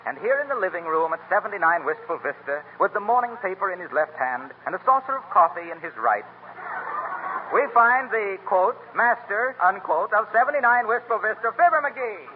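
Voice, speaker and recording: A man's voice: sits high, big pitch swings, moderate pace, phone-quality sound, a little hiss or hum. An American man announcing in polished, formal 1930s radio style, like a sponsor's salesman, on an old broadcast recording.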